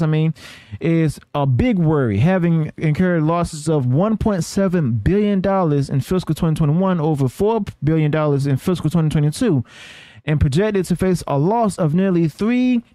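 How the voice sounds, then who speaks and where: A man with a slightly high voice hosts a news commentary, recorded dry with very little room sound. He reads partly from notes in a halting way, stumbling and correcting himself. He often punctuates his points with short check-ins to the listener. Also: mellow, dry recording. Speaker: host of a news commentary channel.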